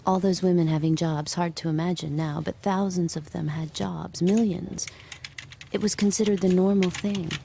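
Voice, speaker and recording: An actress playing a young mother, narrating in a quiet, intimate, reflective way. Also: fairly low pitch, fairly even intonation, moderate pace, creaky, slight background noise. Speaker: narrator and lead character. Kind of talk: audio drama acting.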